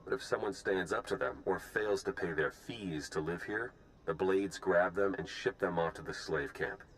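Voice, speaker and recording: Casting: low-voiced, confident secret agent for a game, practical and direct. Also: male voice, moderate pace, a bit bright, slight background noise, poor audio. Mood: businesslike. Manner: businesslike, direct. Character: pragmatic, confident male spy. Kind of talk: video game voice acting.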